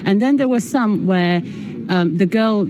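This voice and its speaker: A woman's voice: medium pitch, measured pace, background noise. A woman speaking as an investigative reporter, explaining her findings in a broadcast interview.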